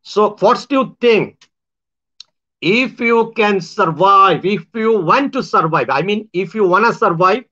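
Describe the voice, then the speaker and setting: High, bright-voiced Bangladeshi man rambling. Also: expressive, slow pace. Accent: Bangladeshi English. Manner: rambling, with many restarts and self-corrections; grand, boastful claims. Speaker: online show host. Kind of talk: casual monologue to viewers. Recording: dull lo-fi sound.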